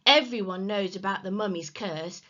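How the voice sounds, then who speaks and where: A woman's voice: mid-range voice, moderate pace, bright, forceful tone, dull audio. A woman voicing a sly, bossy, mischievous older brother in a children's storybook, blustering and wheedling with melodrama. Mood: sly persuading.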